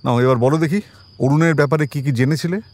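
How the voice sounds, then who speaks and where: An actor in an audio drama playing a composed police detective who questions people politely but with quiet suspicion. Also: male voice, a little high, fast, close to an everyday voice. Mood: probing, composed.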